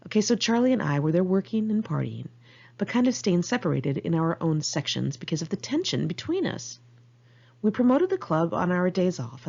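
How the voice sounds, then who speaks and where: A woman with an American accent narrates her memoir in a lowish voice with a husky rasp. She is candid and wry, telling it informally like an amateur audiobook. The recording is a little muffled. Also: a little mellow. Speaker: author reading own memoir.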